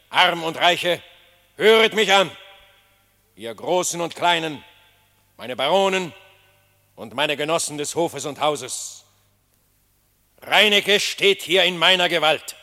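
A man's voice: fairly high pitch, sharp tone, heavily acted. An actor playing a stately lion king holding court, speaking in a solemn, proclaiming radio play manner. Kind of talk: classic radio play acting. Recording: long hall reverb.

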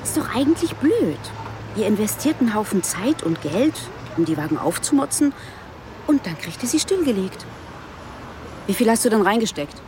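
A woman plays a detective of about forty in a naturalistic radio drama. Her mid-pitched voice is dry and probing. Clear traffic and outdoor noise surrounds it. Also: big pitch swings, normal speed, close to an everyday voice. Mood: casually probing. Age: around 40.

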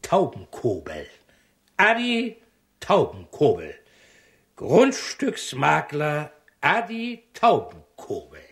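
Slick scheming broker, wildly swinging intonation. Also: male voice, a little high, huge pitch swings, slow pace, fairly bright tone, heavily acted. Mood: self-introducing. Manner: colloquial, pushy wheeler-dealer talk with clipped phrases. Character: shady male real-estate broker, slick, bossy, scheming. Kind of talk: radio drama acting.

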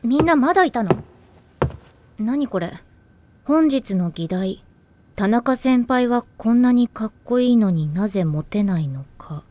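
An actress playing a calm, reserved teenage girl who answers dryly, in an anime drama CD. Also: fairly high pitch, measured pace, no echo. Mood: puzzled. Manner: calm, dry, unbothered. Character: cool reserved teenage girl team manager.

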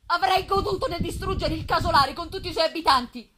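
A woman's voice: very high voice, bright, forceful tone, voice pushed up. A woman voicing an anguished, vengeful outcast male monster in an impassioned, theatrical gothic reading. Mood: anguished fury. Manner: impassioned, theatrical, drawn-out emphasis. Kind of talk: dramatic gothic novel reading. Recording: live festival recording with background noise.

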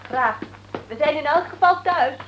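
An actress playing a worried young married daughter in a radio drama, earnest and slightly anxious. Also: female voice, high voice, a little slow, a bit bright, a little hiss or hum, rough recording.

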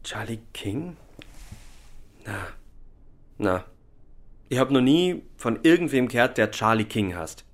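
A man acting an evasive young suspect in a German radio crime drama, deferential, halting and guarded as he answers. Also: mid-range voice, big pitch swings. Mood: guarded denial.

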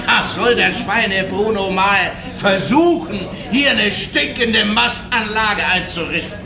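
An actor playing an excitable village choir tenor who rallies everyone to protest, talking slowly but shouting. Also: male voice, high-pitched, creaky, roomy sound, crowd noise, exaggerated voice. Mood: excited. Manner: agitated, rallying. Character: fired-up village activist man, excitable.

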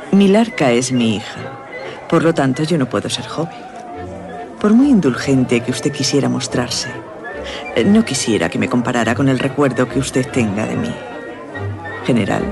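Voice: medium pitch, animated, unhurried, gravelly. A performer playing a mature, elegant, teasingly evasive masked noblewoman in a radio drama, with a coy, aristocratic, theatrical delivery. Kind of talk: Spanish radio drama dialogue. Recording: radio drama with music and party crowd.

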